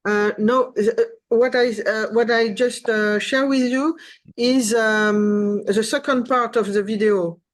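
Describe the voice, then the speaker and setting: A woman's voice: fairly high pitch, steady tone. Hesitant woman on a coaching call. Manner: hesitant, halting, searching for words. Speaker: coaching client, course creator. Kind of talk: casual explaining on a coaching call.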